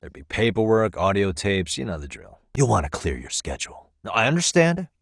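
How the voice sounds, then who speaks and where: An actor playing a sharp, wry private detective in a video game, with an American accent and a casual, persuasive delivery. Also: male voice, fairly low pitch, huge pitch swings, fairly quick, creaky, husky, dry recording, studio quality, full character voice. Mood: polite, persuasive. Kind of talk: English video game dub acting.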